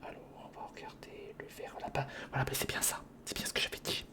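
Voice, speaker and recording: An ASMR host whispering breathily and close to the mic, thinking aloud to the listener through a step-by-step build. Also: quick pace. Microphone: close recorder or studio condenser microphone.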